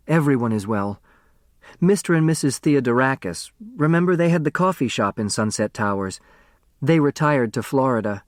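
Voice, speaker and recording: A man plays a loyal female lawyer from a storybook. She speaks in a matter-of-fact way with gentle reassurance, at a middling pitch. The recording is very dry. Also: no echo, lightly acted. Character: adult woman lawyer, loyal, brisk, tenderly reassuring.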